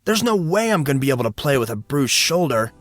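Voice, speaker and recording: A man voicing an indignant, excitable teenage high school boy who talks in slangy exclamations. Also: quick pace, soft background music, close to an everyday voice. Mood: dismayed.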